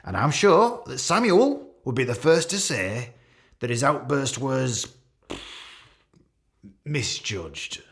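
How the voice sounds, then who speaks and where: A man's voice: medium pitch, sing-song, slow pace, pauses often. A man playing an awkward, catty office boss with a British accent, giving a hesitant, rambling, jokey leaving speech in a radio play. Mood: pointed. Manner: hesitant, repetitive, jokey with barbed asides.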